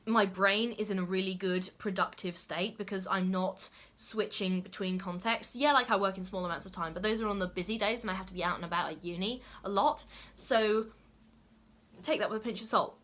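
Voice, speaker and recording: A woman's voice: medium pitch, a little fast, fairly bright tone. A young adult woman talking casually to camera as a lifestyle YouTuber, chatty and full of "like" and "okay?" asides.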